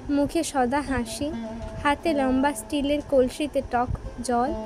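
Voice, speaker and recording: A woman narrates a children's moral story in a high-pitched voice. She reads from a script as the storyteller. Instrumental music plays clearly behind her. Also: rough recording.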